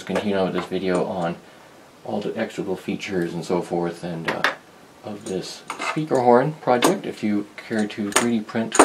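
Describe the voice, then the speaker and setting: A man giving a casual, rambling, unhurried demonstration of a hobby project, talking as a maker. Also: deep voice, noisy background. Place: small cluttered indoor workshop.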